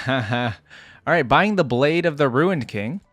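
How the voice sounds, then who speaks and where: A man's voice: mid-range voice, expressive, slow, a bit bright, no echo, faint music behind. A man hosting a casual reaction to game voice lines, with an amused, easygoing manner. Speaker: host of a game lore reaction channel.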